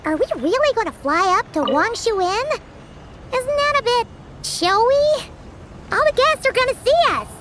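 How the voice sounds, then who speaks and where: A woman voicing a tiny, bubbly, childlike fairy companion with a squeaky, chirpy delivery in a video game. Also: lively intonation, moderate pace, heavy background noise. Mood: curious, skeptical. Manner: chirpy, refers to self in third person. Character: tiny bubbly childlike fairy companion girl, excitable, talks in third person. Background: game music.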